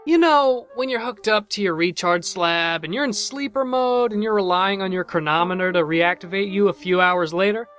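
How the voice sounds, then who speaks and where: A chatty, wisecracking robot from an audio drama , voiced by a man. The tone is bright and sharp, the manner cheeky and glib, with faint music underneath. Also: high-pitched, moderate pace, hardly any pauses, clean tone. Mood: gleeful, mock-gentle. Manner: glib, talkative, joking.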